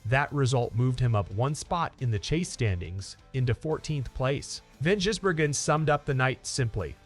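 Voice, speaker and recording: A man's voice: mid-range voice, expressive, moderate pace, breathy edge. A man narrating scripted motorsport news in an upbeat, emphatic presenter style. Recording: music under the voice. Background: background music.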